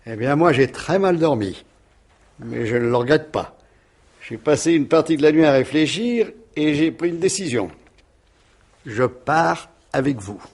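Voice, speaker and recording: A man's voice: a little high, animated, a little slow, darkish tone, breathy edge, heavily acted. An actor playing a meek retired uncle in his sixties, long henpecked, in a radio mystery play. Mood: weary resolve. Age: 60s.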